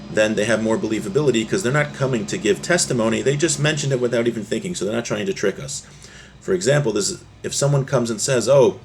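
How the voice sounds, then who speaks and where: A man's voice: fairly low pitch, background noise. A man giving an informal religious text lesson as a teacher, reading and explaining in a conversational way. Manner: conversational, explanatory, acts out short examples. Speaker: Torah teacher.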